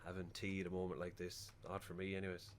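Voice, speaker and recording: An actor playing a well-meaning but bumbling Irish funeral director in a radio play, chatty, hesitant and rambling. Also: male voice, sits low, completely flat delivery, quick pace, slight background noise, close to an everyday voice. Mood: awkward, chatty.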